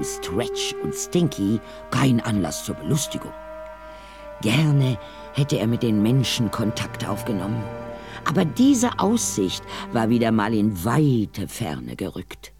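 Animated male storyteller over music. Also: on the low side. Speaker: narrator. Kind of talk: scripted storybook narration for audio drama. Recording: music underneath.

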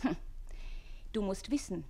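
A woman's voice: mid-range voice, animated, measured pace, vocal fry, mellow. An actress in a classic German radio play playing a saucy, shrewd young bride and lady's maid, teasing and coquettish. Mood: mocking, confiding.

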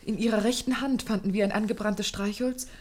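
A woman's voice: mid-range voice, fairly even intonation, brisk, natural voice. An actress playing an anxious, well-bred young lady in a German radio play, speaking earnestly and formally with careful articulation. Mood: earnest, troubled.

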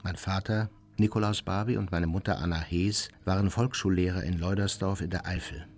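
A man's voice: low-pitched, steady tone, a little fast, a little mellow. An actor playing an old, unrepentant war criminal who recounts his life in a formal, matter-of-fact way for a radio drama.

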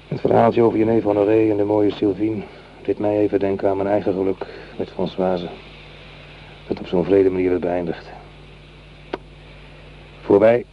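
An actor playing a weary, grieving army corporal and widowed farmer in a radio play, plain-spoken and measured. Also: male voice, on the low side, close to an everyday voice. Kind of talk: Dutch radio play acting. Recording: dull, noisy old radio recording.

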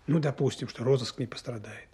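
A man's voice: medium pitch, fairly quick, dark tone, husky. An actor playing a worried, stubborn lieutenant colonel in a Soviet radio drama, weighing his words as he presses his doubts. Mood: doubtful, troubled. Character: worried, stubborn male army lieutenant colonel, questioning superiors.

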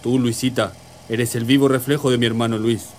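A man plays a Chilean working father in a radio drama. His mid-pitched voice is fond and everyday, heard over engine noise. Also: level tone, brisk, natural voice. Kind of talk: amateur radio drama acting. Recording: engine and vehicle noise behind.

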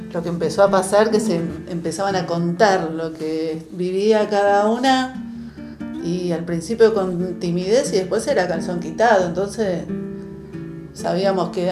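A woman with an Argentine accent reminisces casually and haltingly in an interview conversation. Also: fairly low pitch. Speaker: interviewee recalling activist years. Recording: roomy echo, guitar music behind. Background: guitar music.

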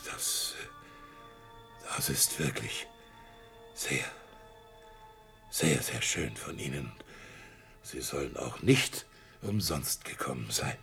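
An actor playing a proud, bitter old blind art collector in a radio play, who swings from boisterous scorn to hushed intensity. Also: male voice, very deep voice, lively intonation, slow pace, gravelly, long pauses, faint music behind, full character voice. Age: older.

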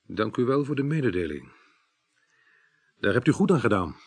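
An actor playing a courteous, probing police inspector with a quietly wry manner in a radio drama. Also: male voice, medium pitch, sing-song, moderate pace. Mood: courteous, pleased. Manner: courteous, repeats himself, probing.

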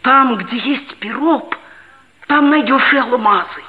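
An actor playing an earnest, self-assured schoolboy, a geologist's son, who narrates and talks in a measured way in a 1960s radio play. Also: mid-range voice, phone-quality sound, natural voice. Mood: earnest, storytelling. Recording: band-limited 1960s radio play recording.

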